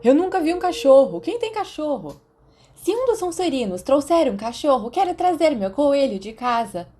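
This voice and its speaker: A woman's voice: sits high, very animated, moderate pace, mellow, pitched above the performer's own voice. A woman acts out curious teenage pupils from an audiobook story. The voice is lifted and youthful, with a puzzled, gossipy way of talking. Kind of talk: fan fiction audiobook dialogue.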